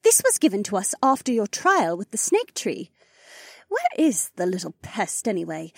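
A woman playing a sweet-voiced, brisk and teasing phoenix wife in an audiobook. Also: medium pitch, wildly swooping pitch, creaky, no room sound, studio quality, full character voice. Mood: chatty, teasing. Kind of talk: audiobook character dialogue.

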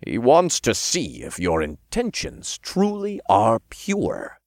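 Man playing a smug, formal archangel, lively intonation. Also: medium pitch, dry recording, studio quality, pitched above the performer's own voice, exaggerated voice. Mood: grudging. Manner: formal, measured, condescending. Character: smug, stern male archangel, formal negotiator.

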